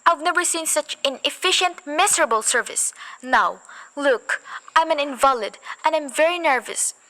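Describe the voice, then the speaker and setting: A woman's voice: high voice, animated, moderate pace, pushed and bright, low-quality recording. A teenage girl playing a nervous, bedridden wife who complains shrilly in a school radio play. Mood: irritable. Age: teens. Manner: fretful, complaining, rising to panic.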